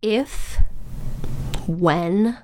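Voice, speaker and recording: A woman's voice: medium pitch, very slow, background noise. An actress playing a stubborn, anxious young American woman, a protective big sister, guarded and wry in a modern audio drama. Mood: reluctant. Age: young adult.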